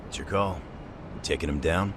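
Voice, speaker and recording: An actor playing a rough, sardonic street fighter in an English game dub, with a blunt way of talking. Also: male voice, sits low, moderate pace, noisy background, lightly acted. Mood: gruff banter.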